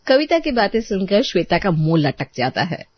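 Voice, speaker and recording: A woman's voice: mid-range voice, sing-song, normal speed, muffled recording. A woman storyteller reading scripted narration for an audio drama.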